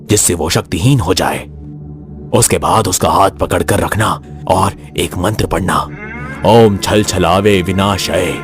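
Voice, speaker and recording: Man voicing a grave, low tantric holy man. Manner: solemn, instructive. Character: grave all-knowing male tantric holy man giving warnings.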